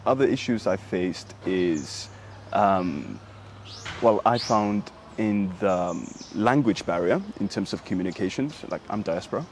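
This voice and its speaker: Low-voiced man, halting and thoughtful. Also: animated, a little hiss or hum. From Sudan. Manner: thoughtful, halting, many fillers. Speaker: interviewee, human rights activist. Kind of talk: reflective interview answers.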